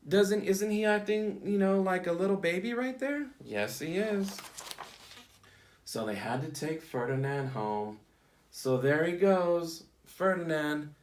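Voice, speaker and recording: A man reading a picture book aloud to children in simple, teacherly phrasing, prompting listeners as he goes. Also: fairly high pitch. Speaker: read-aloud storyteller for children. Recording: echoey, roomy sound.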